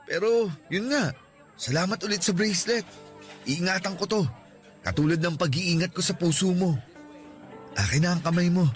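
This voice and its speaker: A man voices a devoted young boyfriend in a radio love story, soft-spoken and tender. The voice sits high for a man. Faint music plays underneath. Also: moderate pace, pauses often, lightly acted. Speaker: voice actor. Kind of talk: radio drama acting.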